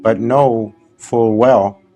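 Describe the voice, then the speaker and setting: A man leading a guided meditation as a spiritual teacher, speaking soothingly in short, deliberate phrases with long pauses. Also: medium pitch, fairly even intonation, mellow, soft background music, poor audio. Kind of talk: live guided meditation, spoken instruction.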